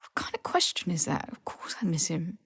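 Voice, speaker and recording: A man's voice: fairly high pitch, wildly swooping pitch, a little fast, vocal fry, a bit bright, husky, no echo, in a whisper, studio quality, pitched below the performer's own voice, exaggerated voice. A woman playing a young male wizard, a blunt and loyal best friend, in an audiobook-style fan fiction reading. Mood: quietly hurt.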